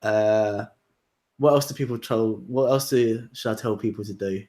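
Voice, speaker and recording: A man's voice: lowish voice, fairly even intonation, moderate pace, dark tone. A man hosting a casual chat show, rambling with lots of fillers and laughs. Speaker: podcast host.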